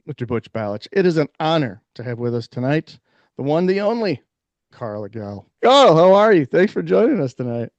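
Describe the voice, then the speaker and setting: A man hosting a podcast, leading a relaxed, friendly interview chat. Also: fairly high pitch, moderate pace, no echo. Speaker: podcast host. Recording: dull, dry lo-fi sound.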